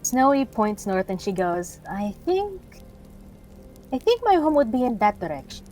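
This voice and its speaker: A woman with an animated, swinging pitch plays a tabletop fantasy game, drifting casually between narration and character. Music plays behind her. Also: moderate pace. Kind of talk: casual in-character role-play.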